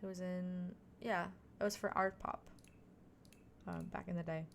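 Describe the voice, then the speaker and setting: Chatty woman streamer, lowish voice. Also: fairly even intonation, measured pace, gravelly, slight background noise. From Montreal, Quebec, Canada. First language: French. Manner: chatty, thinking aloud, self-deprecating asides. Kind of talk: casual chat while gaming.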